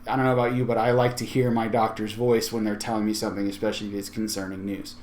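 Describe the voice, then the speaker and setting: A man's voice: on the low side, little pitch movement, fast talker, a little mellow. Conversational man hosting a tech tutorial. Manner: informal, conversational, thinking aloud. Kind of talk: casual screen-share tutorial.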